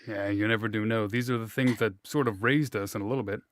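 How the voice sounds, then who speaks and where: A man's voice: on the low side, steady tone, fast talker. A man hosting an interview, asking playful questions in an easygoing, joking way with many fillers. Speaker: interviewer, host.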